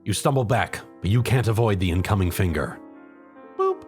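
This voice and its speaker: A man's voice: fairly low pitch, lively intonation, normal speed, a little mellow, soft background music. A man narrating a comedic story in the second person, as a dramatic reader.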